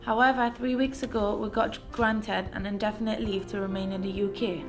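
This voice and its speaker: A young woman from Sierra Leone recounts her story plainly, in a mid-pitched voice. Soft music sits faintly behind her. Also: steady tone, a little fast. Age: young adult. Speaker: young asylum seeker telling her story. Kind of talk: personal narration.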